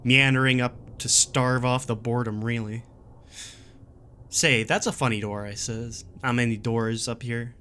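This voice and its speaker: A man playing a jaded, gruff, exasperated wanderer recording a survival warning, in a sardonic and emphatic dramatic horror reading. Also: medium pitch, steady tone, measured pace, sharp tone, a little hiss or hum. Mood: wry, incredulous. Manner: sardonic, emphatic, colloquial grumbling.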